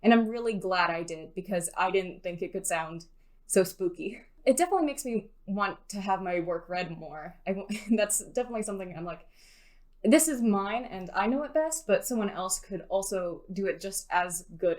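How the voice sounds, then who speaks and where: A woman's voice: mid-range voice, moderate pace, darkish tone. A woman giving casual, conversational answers as an interviewed poet.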